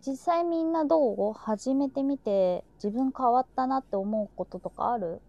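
A woman's voice: sits high, moderate pace, warm tone, no room sound, lo-fi sound. A woman hosting a live streamed talk, chatting casually and reflectively and sharing her feelings openly.

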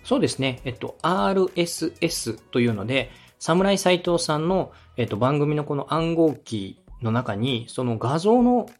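Male podcast host, animated pitch, a bit raspy, polite, instructive, explanatory coaching, soft music underneath. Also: measured pace, few pauses. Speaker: podcast host and radio director.

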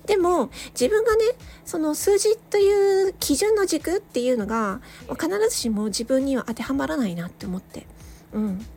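A woman hosting a solo audio show, chatting casually and reflectively to listeners, thinking aloud with hesitant pauses. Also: high voice, expressive, darkish tone. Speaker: solo audio channel host, amateur voice actor.

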